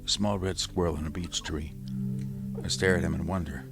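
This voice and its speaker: An actor playing an exhausted, scholarly survivor of a Martian invasion in a halting, grave radio drama style. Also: male voice, low-pitched, steady tone, over loud music. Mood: haunted wonder.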